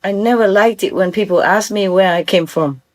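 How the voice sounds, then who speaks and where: A woman's voice: mid-range voice, normal speed. An older woman from Vietnam with a Vietnamese accent, giving an earnest, informal talk as a spiritual teacher and often checking that her listeners follow.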